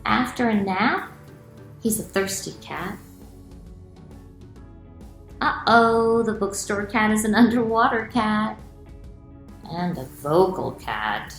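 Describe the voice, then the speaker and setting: A woman's voice: mid-range voice, takes it slowly, long pauses, echoey room, soft background music. A middle-aged woman, a children's author, reading aloud and explaining things expressively to children in a room at home. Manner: expressive, clearly addressed to children. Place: small room at home, indoors.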